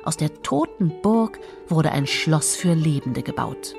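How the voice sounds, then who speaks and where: A woman's voice: deep voice, creaky. Woman narrating a fairy tale over music. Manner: measured, expressive storytelling. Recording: music behind the voice.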